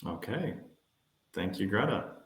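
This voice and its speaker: An American man in his thirties, a financial adviser giving a webinar presentation in a steady, explanatory way with some fillers. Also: on the low side, unhurried, reverberant. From United States.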